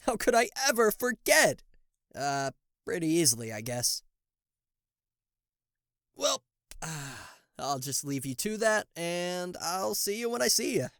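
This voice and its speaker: A man performs a sarcastic, cocky devil for a roleplay audio. His pitch swings widely as he teases and blusters theatrically, tripping into stammers. The recording is dry, with no room sound. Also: sing-song, takes it slowly, long pauses, fairly bright tone, no echo, full character voice. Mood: grudging, resigned. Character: cocky, sarcastic male devil, a fallen angel, secretly fond. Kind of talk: roleplay audio acting addressed to the listener.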